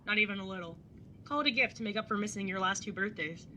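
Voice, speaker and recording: A woman acts out an exasperated older sibling in an audio drama, dry and sarcastic. The voice is very bright and forceful, that of a young adult, with a faint rumble of noise behind it. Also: fast talker, natural voice. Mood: gently conciliatory. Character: exasperated, wry older sibling, narrating a road trip. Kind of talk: audio drama acting and first-person narration.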